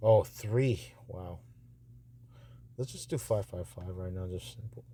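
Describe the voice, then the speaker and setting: A man talking casually and off the cuff as he reviews narrator auditions, halting and repetitive, with a reassuring manner. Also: low-pitched, mellow, husky.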